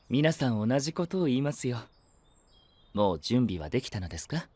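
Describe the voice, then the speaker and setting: A man playing a courteous, composed young knight who hosts a council in an anime audio drama, speaking gently and formally. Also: mid-range voice, very animated, unhurried, no room sound. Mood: formal welcome.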